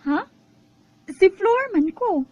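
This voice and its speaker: A woman's voice: very high-pitched, lively intonation, slow pace, heavy background noise, full character voice. An actress playing a hurt, accusing young schoolgirl girlfriend in a Filipino radio drama, with a Filipino accent. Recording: dull lo-fi sound with faint music.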